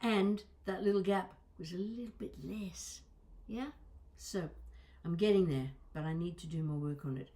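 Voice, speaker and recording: A woman's voice: fairly low pitch, normal speed, mellow. A woman coaching vocal exercises in a conversational, instructional way, stressing and stretching key words. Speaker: voice and accent coach.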